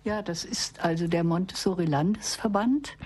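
A woman's voice: lowish voice, normal speed, a little mellow, husky. A woman speaking as an expert guest in a radio interview, explaining things for parents and teachers.

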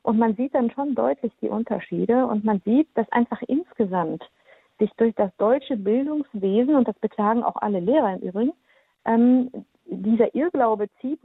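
A woman's voice: fairly high pitch, animated, normal speed, husky, no echo. A woman from Romania giving firm, articulate opinions on talk radio with frequent filler ums, heard over a tinny phone line. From Transylvania, Romania. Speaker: studio guest.

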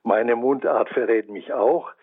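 Franconian-accented male commentator, measured and scholarly, raspy low-frequency grit, heard through a narrow radio line. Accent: Franconian German. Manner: measured, scholarly, searching for words. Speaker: commentator on Bible translation. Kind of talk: reflective spoken radio essay.

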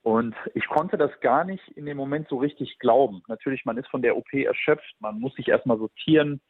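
A man in his twenties giving an earnest, reflective personal testimony about faith, often pausing with hesitations. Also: medium pitch, husky, no echo. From Germany. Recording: tinny phone-like sound.

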